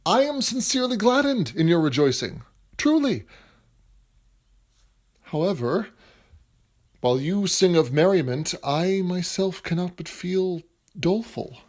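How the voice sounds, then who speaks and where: Man acting a melancholy, earnest gentleman playwright. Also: high voice, big pitch swings, exaggerated voice. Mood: doleful, earnest. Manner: earnest, formal, measured period speech. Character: melancholy, self-doubting civilian playwright, a gentleman. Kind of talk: audio drama acting.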